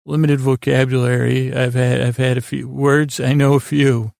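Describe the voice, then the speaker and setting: Very gravelly, rambling male podcast host. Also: medium pitch, flat delivery, a little mellow, no room sound, clean studio sound. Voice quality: gravelly, creaky. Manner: meandering, halting, self-deprecating, mildly silly. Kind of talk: rambling bedtime storytelling podcast monologue. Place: small closet.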